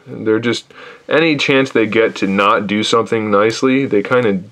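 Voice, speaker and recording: A man reviewing an action figure in a casual, critical way, sounding tired and a little hoarse from losing his voice. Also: lowish voice, normal speed, a bit bright. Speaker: toy reviewer. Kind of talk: casual product review, off-camera voice.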